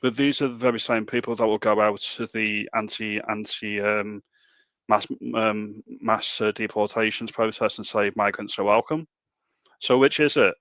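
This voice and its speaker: A man with a Liverpool accent hosting a casual political chat, animated and full of rhetorical questions. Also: lowish voice, normal speed, no room sound. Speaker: podcast host.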